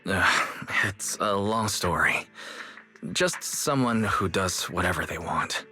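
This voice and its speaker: A man playing a calm, considerate, somewhat evasive young manager in an anime-style video game, speaking in a measured, gently dry, reassuring way. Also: deep voice, soft background music, heavily acted. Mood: apologetic, easygoing.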